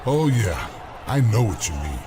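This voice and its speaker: A man's voice: fairly low pitch, big pitch swings, a little slow, crowd noise, noisy background. An actor playing a cocky, boastful wrestler bragging about his size.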